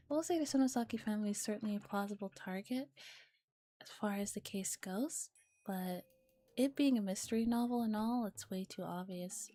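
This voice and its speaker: Soft mellow girl streamer doing asmr game reading, chill and chatty, bit of music behind. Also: medium pitch, no echo. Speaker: streamer hosting a visual novel ASMR stream. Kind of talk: soft ASMR reading with casual chat and commentary.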